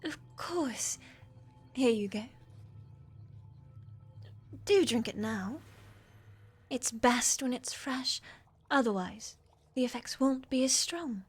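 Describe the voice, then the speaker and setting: A young woman playing a shy, obedient witch's apprentice in a fantasy ASMR roleplay, meek, hesitant and soft-spoken. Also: on the high side, slow, pauses often, husky. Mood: eager, obedient. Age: young adult. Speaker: voice actor in audio roleplay.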